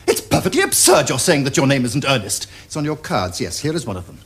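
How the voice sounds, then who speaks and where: Quick, flippant young Victorian gentleman. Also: mid-range voice, huge pitch swings. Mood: teasing, insistent. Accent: upper-class English. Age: young adult. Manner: theatrical, epigrammatic, crisp upper-class phrasing. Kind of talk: period stage comedy acting. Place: furnished studio drawing-room set.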